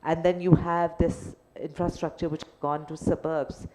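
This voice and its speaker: Low, mellow Pakistani woman on a panel. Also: flat delivery, moderate pace, low-quality recording. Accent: Pakistani English. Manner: thoughtful, hesitant with many fillers. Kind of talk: panel discussion. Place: event hall.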